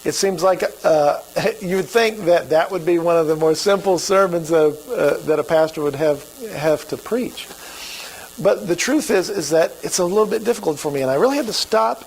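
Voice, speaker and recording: A man's voice: high-pitched, moderate pace, vocal fry, a little mellow, husky, rough recording. A man with an Oklahoma accent preaching a sermon as pastor, in a folksy, conversational way.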